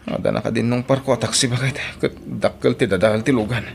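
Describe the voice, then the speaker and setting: A man's voice: medium pitch, fairly even intonation, quick pace, creaky, over music, lightly acted. A man playing a troubled, self-pitying husband who drinks, complaining glumly in an audio drama with an Ilocano accent. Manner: glum, complaining.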